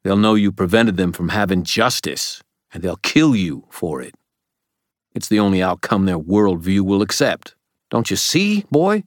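A man performs an angry old wizard grandfather from a fantasy audiobook. He is stern and forceful, pleading and scolding in a low voice with a dry, close sound. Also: expressive, a little slow, no echo, heavily acted. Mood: desperate, pleading.

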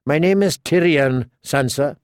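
A man's voice: a little high, unhurried, smooth, dry recording, natural voice. A man voicing a witty, bitter adult dwarf lord who speaks in a dry, mocking way. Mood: weary wry.

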